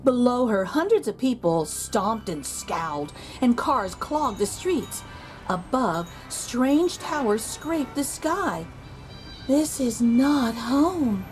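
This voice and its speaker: A woman reading a children's picture book aloud in an expressive storytelling manner. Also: faint music behind, rough recording. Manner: slow, expressive storytelling with lively pitch.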